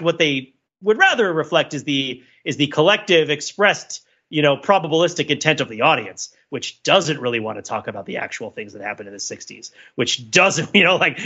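A man talks in a very animated, rough-edged voice that sits a little high. He offers opinionated commentary as a podcast co-host, rambling and circling back as he reasons out loud. The sound is somewhat lo-fi. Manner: rambling, digressive, thinking aloud. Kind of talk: casual, opinionated cultural commentary.

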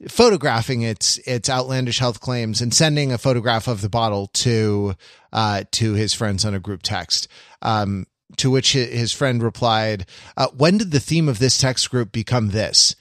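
Wry, halting man in a dry recording. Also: mid-range voice, a bit bright, no echo. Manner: halting, wry, self-interrupting. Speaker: podcast co-host. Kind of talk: casual discussion, summarizing and quoting an article.

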